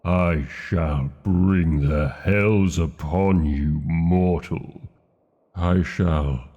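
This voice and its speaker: A British man voicing a huge, ancient, proud yet lovesick dragon in a romantic fantasy roleplay, with a gravelly, husky voice and archaic, theatrical phrasing. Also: low voice, warm tone, dull audio, full character voice. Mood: furious, menacing. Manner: archaic, grandiose phrasing with lingering pauses.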